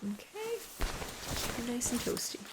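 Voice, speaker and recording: A woman with a soft, rather high voice plays a helpful shop clerk in an ASMR style. She often slips into a breathy whisper and sounds gentle and reassuring, with small hesitations. Noticeable noise sits behind the voice. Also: big pitch swings, slow pace. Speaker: host role-playing a camping store clerk. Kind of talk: ASMR role-play, soft-spoken sales help.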